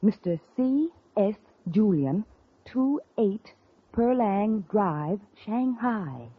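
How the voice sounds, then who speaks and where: A woman's voice: medium pitch, expressive, drawn-out words, breathy edge, no room sound, heavily acted. An actress playing a tense, guarded woman in a 1940s radio crime drama, speaking hesitantly. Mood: anxious. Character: tense, guarded woman caught in a murder plot. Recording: tinny, muffled vintage radio recording.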